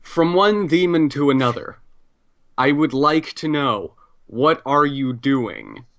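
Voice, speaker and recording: A man speaks as a tabletop game player with a creaky, gravelly voice. His pitch is animated, and the recording is dull and lo-fi with clicking. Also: takes it slowly. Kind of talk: in-game questioning and casual chat.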